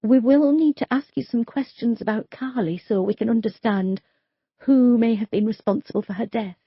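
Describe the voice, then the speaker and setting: A woman's voice: a little high, moderate pace, breathy edge, no echo, muffled recording, voice pushed up. A woman voicing a polite, unsure young policewoman who talks in a placating, hesitant way. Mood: gentle.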